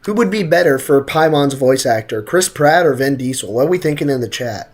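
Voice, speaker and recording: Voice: mid-range voice, animated, fairly quick. Slangy, joking game streamer, mid-range voice. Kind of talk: casual livestream commentary.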